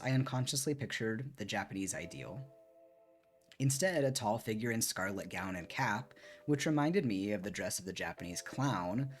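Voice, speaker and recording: A man reading a book aloud expressively on a stream, with casual commentary, close to the microphone. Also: mid-range voice. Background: soft music.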